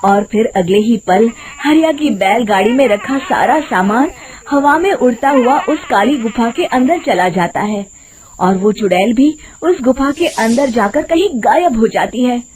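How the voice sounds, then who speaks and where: Expressive, slow-paced woman storyteller. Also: fairly high pitch, slight background noise. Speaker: story narrator. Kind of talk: scripted, dramatic children's story narration.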